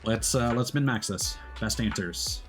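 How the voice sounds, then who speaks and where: A man's voice: fairly low pitch, a little slow. A man giving casual live commentary while playing a video game, musing aloud in a conversational way. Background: music behind the voice.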